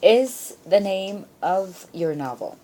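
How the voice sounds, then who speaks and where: A woman's voice: medium pitch, slow pace. A woman hosting a casual live radio interview, chatty and reactive, often echoing what she hears. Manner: chatty, animated reactions, echoes guest's answers. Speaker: radio show host. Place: radio studio.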